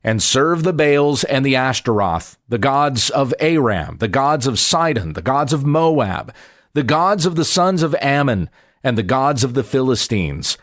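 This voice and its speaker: A man, a pastor, reading scripture aloud in a measured, deliberate and expressive way. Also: medium pitch.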